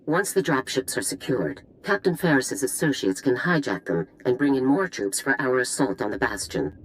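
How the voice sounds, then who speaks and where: A woman's voice: very deep voice, animated, moderate pace, a little hiss or hum, low-quality recording. A woman voicing a stern, pragmatic middle-aged general in a video game, speaking in a clipped, authoritative way. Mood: firm, commanding.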